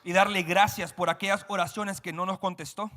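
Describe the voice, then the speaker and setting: A man's voice: high-pitched, steady tone, bright, forceful tone. A man interpreting a sermon live into Spanish, following each phrase and sometimes correcting himself. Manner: quick follow-up renderings with self-corrections. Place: church sanctuary. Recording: long church hall reverb.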